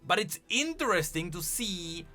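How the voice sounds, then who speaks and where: Male lore video host, lively swooping intonation, bright voice, casual emphatic commentary, faint music. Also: high voice, unhurried.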